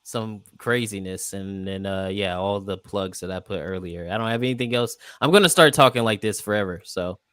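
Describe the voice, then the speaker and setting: A man's voice: on the low side, normal speed, hardly any pauses, a bit bright, clear, resonant tone, no room sound, studio quality. A man chatting casually as a guest on a livestream panel. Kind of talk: casual chat.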